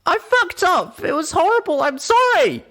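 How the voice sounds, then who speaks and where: A man's voice: sing-song, normal speed, creaky, a bit bright, exaggerated voice. A man voicing an anxious, guilt-ridden young female unicorn pony who cries out in strained, tearful pleas. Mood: sobbing. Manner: high, strained, tearful pleading.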